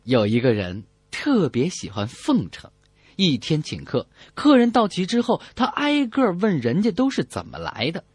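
Very animated male radio comedy host. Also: a little high, normal speed, husky, dry recording. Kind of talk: joke telling and song dedications.

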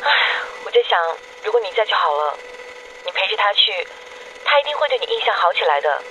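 A woman's voice: mid-range voice, big pitch swings, brisk, pushed and bright. A woman playing a devoted, wistful young girlfriend leaving affectionate, confiding voicemails in a radio drama. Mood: wistful, longing. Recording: dull noisy answering-machine message.

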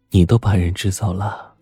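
A frail, grieving lady of a wealthy house, played by a male narrator, speaks in a breathy half-whisper. The delivery is slow, drained and faltering, as in a storybook drama. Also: low-pitched, dark tone, no echo, clean studio sound, exaggerated voice. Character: sickly, bitter, grieving noblewoman. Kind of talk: audiobook character acting.

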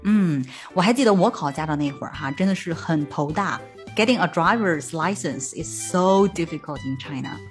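A woman hosts a bilingual learning podcast in a slightly low voice. She moves between Mandarin and English, stopping to unpack each term for listeners. Soft background music plays under the talk. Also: moderate pace. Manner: explains terms, switching between Mandarin and English. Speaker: podcast co-host.

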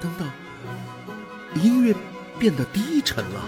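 An actor playing an awestruck space explorer in a radio drama, halting and full of wonder. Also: male voice, high voice, wildly swooping pitch, over music, heavily acted. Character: awestruck space explorer newly transformed into an alien body.

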